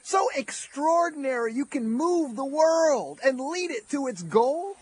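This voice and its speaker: Sardonic carrion bird in a radio play, really high voice, swings all over, gleeful teasing. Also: lively intonation, a little slow, a little mellow. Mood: sly taunting. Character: mocking scavenger bird of the desert, taunting a dying man. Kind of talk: audio drama acting.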